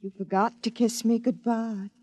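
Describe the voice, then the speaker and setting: An actress playing a clinging, adoring, anxious wife in an old-time radio drama, cooing and pleading. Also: female voice, fairly high pitch, little pitch movement, normal speed, warm tone, no echo, faint music behind, natural voice. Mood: tearful, pleading. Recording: dull old radio recording.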